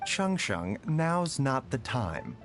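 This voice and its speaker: A man voicing a refined, composed physician in a video game, soft and polite with measured formal phrasing. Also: mid-range voice, very animated, over music. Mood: calm, placating. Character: gentle, courteous male physician, refined and composed.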